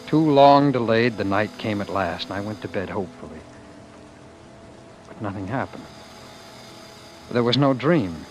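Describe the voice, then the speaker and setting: Man acting a low-voiced dreamy radio drama hero. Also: background noise, natural voice. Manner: earnest, dramatic, brooding, hesitant. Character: meek dreamy office husband, imagining himself a great leader. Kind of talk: old-time radio drama acting and narration. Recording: vintage radio broadcast with music behind.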